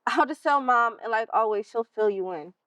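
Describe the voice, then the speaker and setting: A woman's voice: on the high side, a little fast, sharp tone. A woman with an American accent playing a defiant, sullen sixteen-year-old daughter in a stage play, blunt and sulky. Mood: resentful, guarded.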